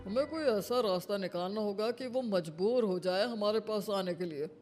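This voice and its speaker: A woman plays an older head of the household in a cartoon moral tale. He is proud and stubborn, giving orders in a commanding, mid-pitched feminine voice. Music plays underneath. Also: normal speed, a little mellow, lightly acted. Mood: stern, scheming. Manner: commanding, unyielding. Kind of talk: dramatised moral story for cartoon.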